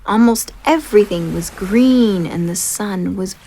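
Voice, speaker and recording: A woman narrates a children's storybook expressively at a middling pitch. There is clear background noise behind her, like chirping insects. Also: unhurried, dark tone, poor audio. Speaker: storybook reader.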